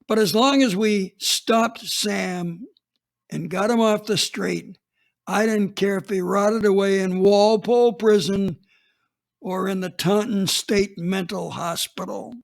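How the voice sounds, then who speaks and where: A man's voice: sits high, steady tone, slow pace, gravelly, heavily acted. An actor playing a blunt, unapologetic retired police chief in his eighties, recounting a case in a radio drama. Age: 80s. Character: gruff old male retired police chief.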